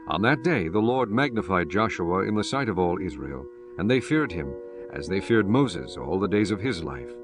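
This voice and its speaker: Low-voiced male Bible narrator over music. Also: animated, moderate pace. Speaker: audiobook narrator. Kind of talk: solemn scripted Bible narration.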